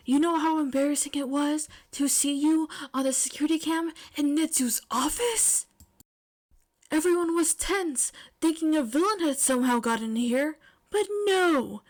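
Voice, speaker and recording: A fed-up male schoolteacher in an audio story, acted out by a woman. The voice is fairly high and emphatic, scolding with stern exasperation. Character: exasperated male teacher scolding his troublemaking student.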